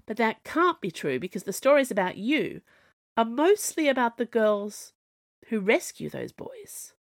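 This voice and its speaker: A woman reading the part of a cool, wry young royal bodyguard who speaks with steady assurance. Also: on the high side, measured pace, no echo, studio quality, pitched above the performer's own voice. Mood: calm.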